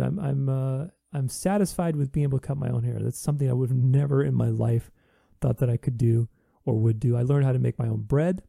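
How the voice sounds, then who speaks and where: A man's voice: mid-range voice, gravelly, warm tone, no room sound, studio quality. A man hosting a podcast, talking earnestly in a reflective solo monologue, with many restarts. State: recovering from voice loss.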